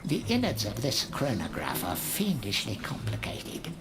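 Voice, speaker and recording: Slow, low-voiced fantasy guide. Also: female voice, wildly swooping pitch, over music, exaggerated voice. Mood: portentous. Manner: theatrical, measured. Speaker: narrator and guide. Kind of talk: scripted fantasy game narration.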